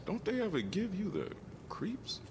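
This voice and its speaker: An actor playing a chatty, easily impressed meter reader in a radio drama, folksy, jovial and exclamatory. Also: male voice, high-pitched, wildly swooping pitch, unhurried, full character voice. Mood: jovial, curious. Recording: music under the voice.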